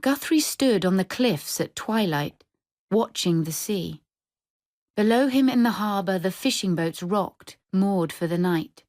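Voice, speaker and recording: A woman reading literary fiction for radio in a measured, descriptive storytelling manner. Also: medium pitch, animated, darkish tone, no room sound, studio quality. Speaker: short story reader. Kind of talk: scripted literary narration for radio drama.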